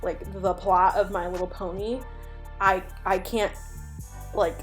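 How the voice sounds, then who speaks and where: A woman's voice: on the low side, flat delivery, unhurried, soft background music. A woman giving casual, chatty commentary as a video host, speaking with enthusiasm and emphasis. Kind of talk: casual commentary on an online animated horror series.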